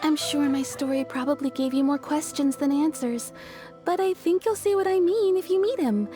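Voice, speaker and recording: A woman's voice: high voice, moderate pace, music in the background, close to an everyday voice. An actress playing a kindly, fair-minded, chatty young townswoman in a video game. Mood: amused.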